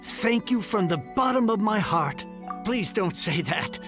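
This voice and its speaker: A man's voice: high voice, lively intonation, unhurried, over music. A man voicing an earnest, formal heir of a fallen family in a video game. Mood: grateful.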